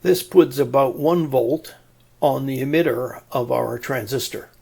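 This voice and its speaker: A man's voice: mid-range voice, measured pace, vocal fry, darkish tone, husky. A man giving an explanatory technical narration in a methodical, plain-spoken way with a touch of dry humour. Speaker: presenter of an electronics tutorial.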